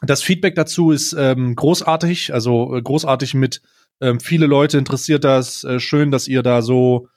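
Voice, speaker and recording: A man hosting a casual comedic podcast, talking in a halting, informal way with bits of English slang. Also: medium pitch, steady tone, a little mellow, no room sound, clean studio sound. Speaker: podcast host.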